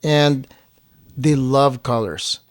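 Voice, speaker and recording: Man, mid-range voice, casual explainer, halting delivery, very dry recording. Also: medium pitch, no room sound. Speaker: co-host and filmmaker.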